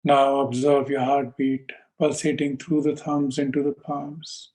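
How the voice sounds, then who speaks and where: A man teaching yoga and guiding a meditation in slow, calm, measured short phrases with pauses between them. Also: mid-range voice, rough recording. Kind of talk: slow guided meditation instruction.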